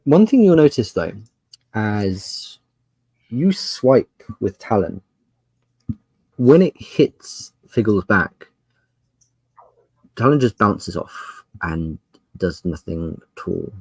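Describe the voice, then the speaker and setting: A man narrating a tabletop game as game master, using dramatic pauses and emphasis as he describes scenes. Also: fairly low pitch, big pitch swings, a little mellow, breathy edge, no room sound, low-quality recording.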